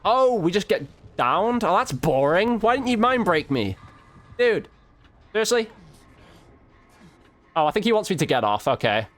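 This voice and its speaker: A man's voice: high voice, big pitch swings, a little slow, long pauses, a bit bright. A man hosting a gaming video, giving chatty, informal commentary on his play and addressing his viewers directly. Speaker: gaming video host and player. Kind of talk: casual gameplay commentary.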